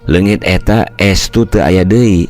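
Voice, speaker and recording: An older man narrating a first-person folk tale in a steady, measured storytelling voice. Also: deep voice, over loud music.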